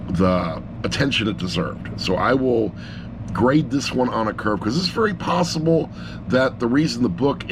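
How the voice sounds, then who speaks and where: A man's voice: fairly low pitch, noisy background. A middle-aged man gives a casual, unscripted review in a rambling, blunt, self-deprecating way, picked up by an action camera sitting on a laptop. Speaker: amateur audiobook reviewer.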